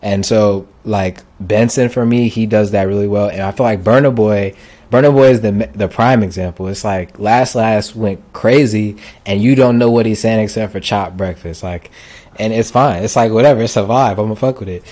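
Laid-back male podcast host with a lowish voice, easygoing conversational talk. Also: normal speed, a little mellow. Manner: laid-back, lots of "like" and "I feel like". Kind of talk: casual music podcast chat.

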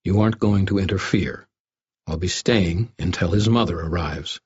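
A man voicing a stern, wry investigator wizard in an audiobook, speaking in a quiet, measured and threatening way. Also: deep voice, slightly rough, highs cut off. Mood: stern warning. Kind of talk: audiobook first-person dialogue.

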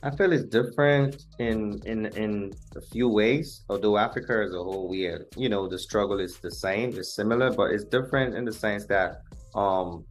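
A young man from Nigeria, a musician guest, explaining things in a measured, earnest way in an interview. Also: fairly low pitch, soft background music. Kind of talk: interview conversation.

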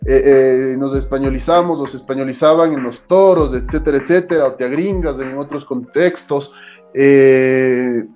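A man from Ecuador with an Ecuadorian accent, a musician guest in a casual interview, talking in an animated, rambling way full of fillers. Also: mid-range voice, moderate pace, dull audio, faint music behind. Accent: Ecuadorian Spanish. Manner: animated, rambling, fills with "o sea".

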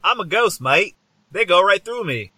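A man's voice: high-pitched, very animated, moderate pace, sharp tone, breathy edge, dry recording, heavily acted. A man voicing a rude, hungry ghost of an Australian adventurer in a comedy skit, with an exaggerated, muddled Australian accent and cheerful matey slang. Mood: explaining. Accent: put-on muddled Australian. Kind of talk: improvised comedy character skit.

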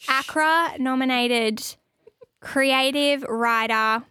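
A woman's voice: slow pace, sharp tone, clear, resonant tone, no room sound. A woman, hosting a casual podcast chat in a radio studio and laughing often. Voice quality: high-pitched. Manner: bubbly and chatty, laughs often. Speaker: podcast host.

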